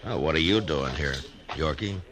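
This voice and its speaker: A man playing a steady, laconic frontier marshal in an old radio western, slow and hesitant with many pauses. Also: very deep voice, background noise. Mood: probing. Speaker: narrator and lead character. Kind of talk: 1950s radio western acting.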